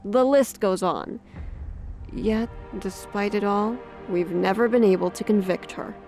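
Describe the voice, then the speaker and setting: An actress playing a no-nonsense, sceptical police officer in an audio drama, with a dry, firm delivery. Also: female voice, mid-range voice, unhurried, darkish tone, faint music behind, lightly acted.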